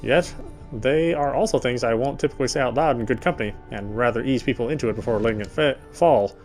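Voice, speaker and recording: A man's voice: medium pitch, fast, a little mellow, soft background music. A man narrating a horror visual novel in the first person, reading steadily and often restarting his words.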